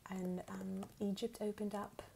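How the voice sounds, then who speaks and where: Woman, put-on English accent, soft half-whispered ASMR talk, mellow tone, creaky voice, unhurried. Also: medium pitch, little pitch movement, a little hiss or hum. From United States. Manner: unhurried, reading questions aloud and answering. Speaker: ASMR video host. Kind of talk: soft-spoken ASMR question and answer.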